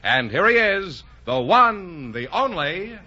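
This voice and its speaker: A man announcing a radio quiz show, reading the opening in a booming, showman-like delivery. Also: sing-song, slow pace, heavy background noise. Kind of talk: scripted broadcast announcing. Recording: muffled 1950s radio transcription with music.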